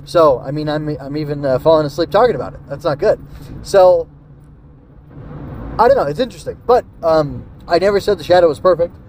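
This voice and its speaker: Man, high-pitched voice, podcast host, casual review, hesitant thinking aloud, clear background noise. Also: fairly quick, darkish tone. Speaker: podcast host reviewing an old radio drama. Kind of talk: casual solo review commentary.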